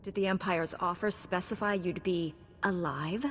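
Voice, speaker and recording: A woman playing a composed, resolute Jedi knight in a video game, calm, firm and dry. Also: on the low side, normal speed, slight background noise, close to an everyday voice. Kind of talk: video game cutscene acting. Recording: reverberant game audio, faint music.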